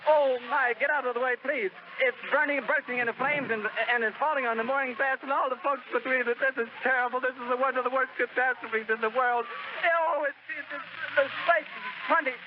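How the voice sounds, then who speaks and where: A distraught man reports live, stammering as his voice breaks. His pitch is strained very high. The scratchy 1930s recording carries clear hiss and a dull sound. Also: fairly even intonation, a little fast. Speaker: on-scene radio reporter.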